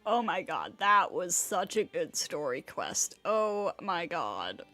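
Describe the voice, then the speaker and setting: A woman's voice: fairly low pitch, big pitch swings, takes it slowly, pushed and bright, soft background music. A woman livestreaming a game with casual reaction commentary.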